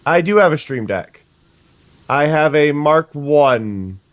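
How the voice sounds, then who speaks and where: A young adult streamer, sniffly today, chatting casually with viewers and restarting sentences often. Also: male voice, on the high side, expressive, takes it slowly, dry recording. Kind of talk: casual gameplay chat.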